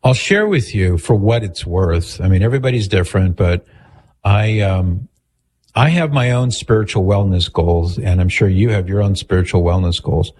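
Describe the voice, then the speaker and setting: A man hosting a call-in radio show, calm and attentive as he restates what his callers say. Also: low-pitched, moderate pace, slight background noise. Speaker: radio host.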